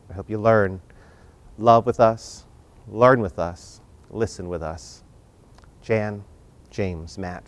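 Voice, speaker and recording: Low-pitched man hosting, with emphatic phrasing. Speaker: host and moderator of an arts talk. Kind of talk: warm-up hosting talk to a home audience and panel.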